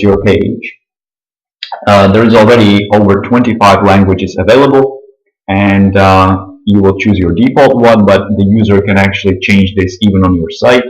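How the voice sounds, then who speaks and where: A man with a Czech accent walking through software setup, pausing often with fillers and clearing his throat. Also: on the low side, roomy sound, poor audio. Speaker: software tutorial presenter. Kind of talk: screen-recorded software walkthrough.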